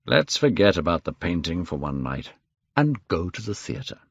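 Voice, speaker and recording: A man's voice: sits low, very animated, normal speed, vocal fry, slightly rough, no room sound, dull audio, heavily acted. A man voicing a suave, amused, self-assured lord in a cool, languid storybook reading. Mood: breezy.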